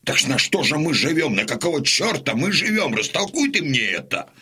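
An actor playing a hot-headed, stubborn old Cossack warrior in an audio drama, gruff-voiced, blunt and insistent. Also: male voice, on the high side, very animated, a little fast, bright, forceful tone, heavily acted. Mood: indignant.

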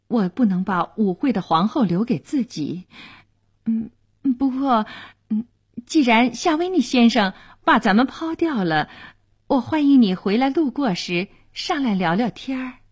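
Woman doing a sly society lady from a story, teasing n catty, swoopy slow delivery. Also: on the high side, expressive, slightly rough. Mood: airy, teasing. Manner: teasing, biting, affected. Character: sly, mocking society lady, laughing airily.

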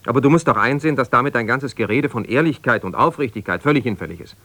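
An actor playing an aggrieved, self-justifying middle-aged husband in a naturalistic radio drama, halting and reproachful as he argues. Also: mid-range voice, animated, pushed and bright, no echo. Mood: reproachful, wounded. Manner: halting, argumentative, reproachful, breaking off mid-sentence. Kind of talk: naturalistic 1970s German radio drama, one-sided conversation.

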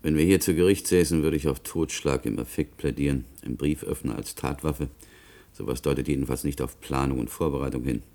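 A man playing an inquisitive, reasoning colleague in a German radio play, questioning in a deliberate way. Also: extremely low pitch, mellow. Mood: reflective. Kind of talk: German radio drama acting.

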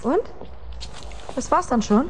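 An actress playing a detective's sensible, teasing secretary in a radio crime drama, composed and gently chiding. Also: female voice, high voice, expressive, slow pace, slight background noise. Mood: skeptical.

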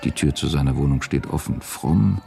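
A man narrating a radio drama from a script in a measured, sober manner. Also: a bass voice, vocal fry, mellow.

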